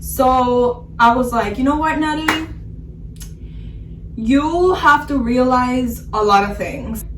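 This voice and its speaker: A woman's voice: sits high, slow, recorded from a distance. A woman in her twenties talks through a personal story in a loose, candid vlog style, swearing freely and leaning on "like." She sits a little back from the mic in a room with a noticeable echo. Faint ambient noise sits under her voice. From Miami area. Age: 20s. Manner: candid, frequent swearing, fillers like "like". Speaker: vlogger telling a personal story. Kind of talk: casual storytime monologue.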